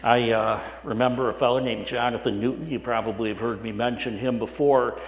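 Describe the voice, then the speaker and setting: A middle-aged male pastor with a hoarse, raspy voice, preaching a sermon in a conversational way with frequent restarts. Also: mid-range voice, fairly even intonation, fairly quick, slight background noise. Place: church sanctuary. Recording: echoey hall with long reverb.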